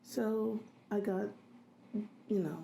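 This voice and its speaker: A middle-aged woman in an interview, recalling her childhood in a halting way with many pauses. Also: medium pitch, fairly even intonation, gravelly, dark tone, slight background noise, poor audio. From grew up in a US city in a Haitian immigrant family. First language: Haitian Creole. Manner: halting, many ums and restarts. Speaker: interviewee, registered nurse. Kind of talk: reflective interview recollection.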